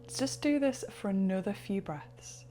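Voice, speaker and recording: A woman leading a guided relaxation, speaking slowly and softly, sometimes dropping into a whisper. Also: mid-range voice, lively intonation, a little hiss or hum. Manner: slow, soft-spoken, partly whispered. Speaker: meditation guide.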